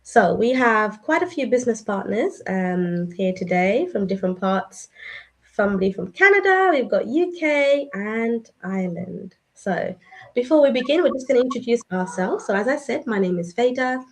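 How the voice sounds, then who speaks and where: A woman's voice: a little high, lively intonation, moderate pace. A woman in her thirties hosting a live online session, welcoming viewers and introducing guests.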